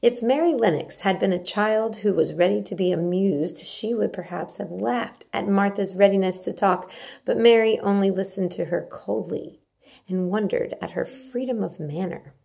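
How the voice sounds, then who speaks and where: A woman narrates a storybook aloud. Her voice sits at a middle pitch, soft-edged and mellow, with a noticeable creak as she reads the scripted prose. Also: moderate pace. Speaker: story reader.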